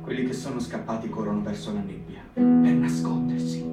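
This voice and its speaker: A man's voice: on the low side, wildly swooping pitch, fairly quick, vocal fry. Solemn man in first-person narration. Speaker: reader in a performed reading. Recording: roomy radio broadcast from a distant mic. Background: loud guitar music.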